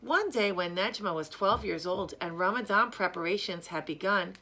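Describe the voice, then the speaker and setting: A woman reading a children's story aloud in a measured, careful, expressive way. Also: medium pitch, a little hiss or hum. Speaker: storyteller reading a picture book.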